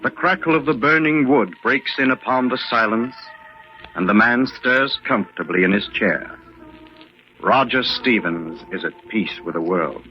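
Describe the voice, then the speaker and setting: An actor gives us an ominous male mystery narrator with a medium-pitched voice. He is deliberate and full of suspense in 1940s radio style, slightly muffled, with music behind him. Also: close to an everyday voice. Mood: solemn suspense. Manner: deliberate, dramatic, hushed suspense. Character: solemn, ominous male storyteller of a mystery tale. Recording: muffled old radio recording.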